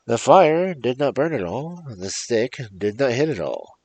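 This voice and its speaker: A man with a gravelly, husky voice hosting a storytelling show, reading fairy tales in a theatrical, emphatic, rhythmic way. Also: medium pitch, big pitch swings, a little slow, a little mellow. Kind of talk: animated performed fairy-tale reading with casual asides.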